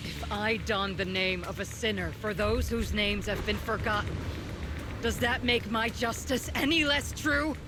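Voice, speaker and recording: An actress playing a proud, bitter fallen soldier commander out for vengeance in a video game, with solemn, theatrical delivery. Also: female voice, medium pitch, a little slow, background noise, close to an everyday voice. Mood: bitter, resolute. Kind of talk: dramatic video game voice acting. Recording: game dialogue under loud music. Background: loud dramatic game music.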